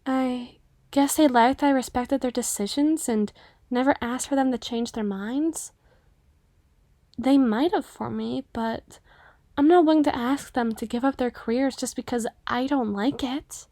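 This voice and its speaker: A reserved young cyclops waitress played by a female performer in a roleplay audio. Her voice is high and recorded dry, and she speaks hesitantly, rambling earnestly and modestly. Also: measured pace, warm tone, no echo. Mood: reserved, sincere. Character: shy, self-effacing twenty-five-year-old cyclops waitress. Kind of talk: ASMR roleplay acting.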